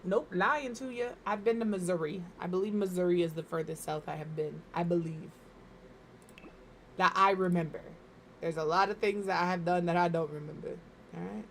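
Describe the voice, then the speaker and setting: A woman from Minnesota chats casually while she streams from home. Her mid-pitched voice is slightly hoarse and a little crackly. She rambles and makes fun of herself, and a faint background noise hums behind her. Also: fairly bright tone. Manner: rambling, chatty, self-deprecating. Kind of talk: casual chat while gaming.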